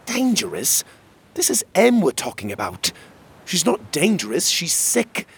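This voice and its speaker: Man as exasperated young friend, husky and raised. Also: a little high, huge pitch swings, a little slow, pitched above the performer's own voice, exaggerated voice. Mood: indignant, protective.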